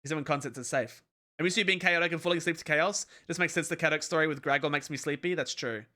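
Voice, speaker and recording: A man reading a webcomic aloud to his stream in a calm, relaxed way. His voice sits a little high and rings bright and forward. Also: fast.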